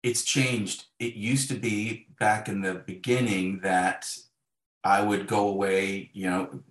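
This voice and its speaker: Echoey, low-voiced man in conversation. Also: slow. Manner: animated storytelling, acting out imagined dialogue. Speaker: guest author. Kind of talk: conversational interview. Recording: roomy, echoey sound.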